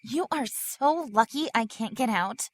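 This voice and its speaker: Audio drama role: woman as hurt, haughty teen mean girl, high animated voice, biting and demanding. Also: unhurried, no echo. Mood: scornful, pained. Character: injured, haughty, sarcastic teenage mean girl.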